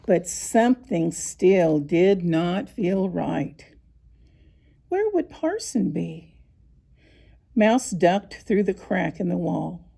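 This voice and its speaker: A woman narrating a scripted children's story, with expressive, deliberate phrasing and dramatic pauses. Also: lowish voice, gravelly, husky. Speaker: storybook reader.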